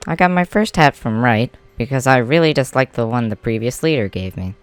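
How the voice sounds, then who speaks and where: A man's voice: medium pitch, lively intonation, brisk, smooth, no room sound, close to an everyday voice. A man playing a stubborn, polite, workaholic clan leader in an amateur comic dub, talking in an easygoing, emphatic way. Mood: explaining, proud. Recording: music behind the voice.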